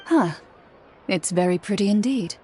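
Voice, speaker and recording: A woman playing a composed, dutiful female fighter in a video game, speaking in a calm, formal, mellow voice. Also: mid-range voice, normal speed, heavy background noise, close to an everyday voice. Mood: calm, matter-of-fact. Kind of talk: video game character acting.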